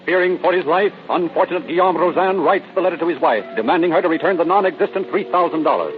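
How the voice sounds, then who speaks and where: A man's voice: fairly high pitch, expressive, fast talker, faint music behind, close to an everyday voice. A male actor narrating a vintage radio crime drama, formal, emphatic and melodramatic. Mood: dramatic. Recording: narrow-band old radio recording.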